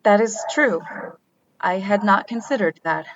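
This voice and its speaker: A woman's voice: medium pitch, lo-fi sound, a little hiss or hum, natural voice. A woman as a formal, literal-minded android. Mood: puzzled, matter-of-fact. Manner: formal, measured, matter-of-fact. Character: polite, literal-minded reactivated artificial crewmate, puzzled by people. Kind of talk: sci-fi radio drama dialogue.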